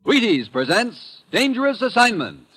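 A man's voice: high-pitched, sing-song, moderate pace, husky. A man announcing a program in a grand, declamatory 1950s radio style. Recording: vintage radio broadcast, very loud music.

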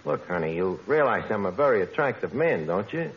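A man's voice: on the low side, sing-song, a little fast. An actor playing a brash, penny-pinching, self-satisfied wise guy with streetwise New speech and bad grammar in a 1940s radio comedy. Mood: pushy wooing. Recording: dull vintage radio recording, studio audience.

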